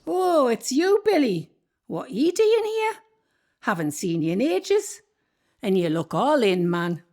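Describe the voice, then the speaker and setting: A woman's voice: on the high side, sing-song, a little mellow. A woman playing a bossy Northumbrian ghost landlady. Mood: surprised. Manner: scolding, bossy, quick to defend herself. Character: sharp-tongued ghost of an old landlady. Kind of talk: community radio play acting.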